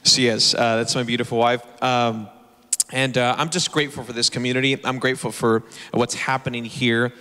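A man in his thirties speaks to a church congregation in an upbeat, grateful way, with quick asides. His bright, forceful voice rings out in a long hall reverb from a handheld stage mic. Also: medium pitch, flat delivery. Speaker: church drummer. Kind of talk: introducing himself to a congregation. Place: church stage before an audience.

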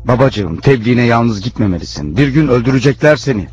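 An actor playing a prophet's devoted, concerned grown son in a cartoon, earnest and caring. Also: male voice, medium pitch, expressive, fairly quick, background noise, close to an everyday voice. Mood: concerned, reassuring. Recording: dull lo-fi audio with music.

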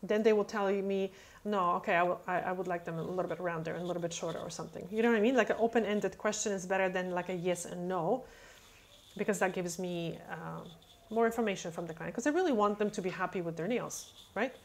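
A woman's voice: on the low side, quick pace. A woman in her thirties, a nail technician whose first language is not English, talking casually into a webcam and acting out chats with clients, with many fillers. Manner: chatty, acts out client dialogue, many fillers. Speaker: nail technician answering questions. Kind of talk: casual explanatory talk. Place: indoor room, webcam over screen.

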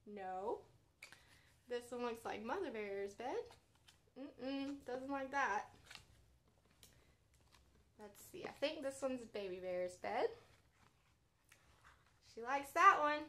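Young woman, children's storytime host. High, bright voice, expressive read-aloud addressed straight to little listeners. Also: slow, pauses often, a little hiss or hum. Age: young adult. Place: bedroom, sitting up in bed.

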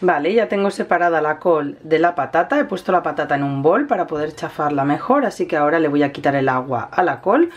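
A woman's voice: low-pitched, expressive, fairly quick, hardly any pauses. A woman with an accent from Spain gives a casual, chatty cooking tutorial, explaining each step informally as host. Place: home kitchen, indoors. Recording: kitchen noise, frying and stirring sounds.

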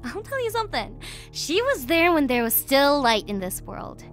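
Casting: a sadistic, playful goddess of destruction for horror audio drama; high, very animated, theatrical, sweetly venomous. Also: female voice, normal speed, faint music behind. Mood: smug reminiscing. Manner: theatrical, mocking, giggly, swinging from sweet to venomous. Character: gleefully cruel, playful world-killing goddess villainess. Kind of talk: villain monologue in an audio horror series.

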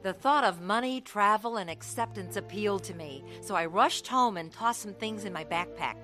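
A woman's voice: mid-range voice, lively intonation, normal speed, music in the background, close to an everyday voice. An actress playing a restless, reckless young woman telling her life story in a radio drama. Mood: earnest, regretful. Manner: earnest, confessional storytelling. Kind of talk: dramatised first-person radio testimony.

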